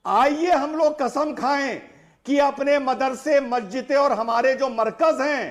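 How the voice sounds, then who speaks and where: A man's voice: very high voice, slow. A man arguing persistently as a panelist in a TV debate. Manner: pressing, persistent. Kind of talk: argumentative TV debate.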